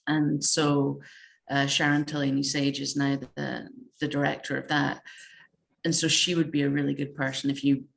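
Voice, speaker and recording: A woman researcher answering informally in a panel discussion, speaking in a measured way and pausing mid-sentence. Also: low voice, creaky. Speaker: games researcher, roundtable panellist.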